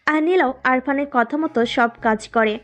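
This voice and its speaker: A woman narrates a romantic story from a script. Her voice is high, and she tells it as the storyteller. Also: moderate pace.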